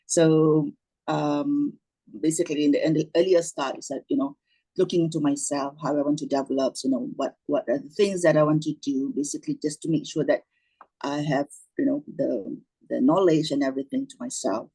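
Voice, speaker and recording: A middle-aged woman with a Malaysian accent and a husky voice, giving an informal online talk, often circling back and rephrasing. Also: low-pitched, fairly even intonation, brisk, warm tone, low-quality recording. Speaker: doctor and lecturer giving a talk. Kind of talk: informal online presentation, reminiscing. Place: online video meeting with screen share.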